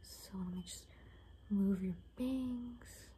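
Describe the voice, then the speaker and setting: A young woman doing an improvised ASMR roleplay in a soft, often whispered voice, rambling and repeating words in a hushed, unhurried way. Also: mid-range voice, a little hiss or hum. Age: young adult. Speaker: ASMR creator playing a caretaker. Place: small bedroom, indoors.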